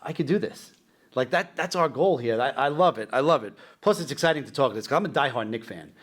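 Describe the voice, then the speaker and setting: A man's voice: medium pitch, lively intonation, brisk, a bit bright. A man in his forties hosting a school podcast interview, talking eagerly like a diehard fan, with frequent restarts. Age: 40s. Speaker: podcast host. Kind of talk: casual interview chat.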